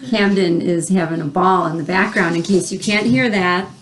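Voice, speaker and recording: Slow-paced woman reading to children. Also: fairly low pitch, a little hiss or hum, poor audio. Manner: explains words simply for children. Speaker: read-aloud host. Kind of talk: children's picture book read-aloud with chatty asides.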